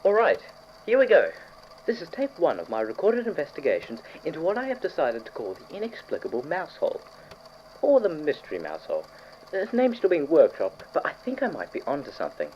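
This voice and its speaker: A man playing an eager, obsessive scientist recording precise, fussy investigation notes for an audio drama on degraded cassette. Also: a little high. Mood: excited. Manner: fussy, precise, excitable, with trailing pauses. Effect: degraded old cassette tape. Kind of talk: audio drama found-tape monologue. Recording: muffled, noisy old cassette tape.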